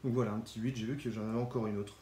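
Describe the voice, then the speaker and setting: Relaxed young man with a cold. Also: fairly low pitch, rapid-fire, echoey room, slight background noise. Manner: relaxed, chatty, with small restarts. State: has a cold, stuffy. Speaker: Pokémon card collector YouTuber. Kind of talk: casual card showcase vlog.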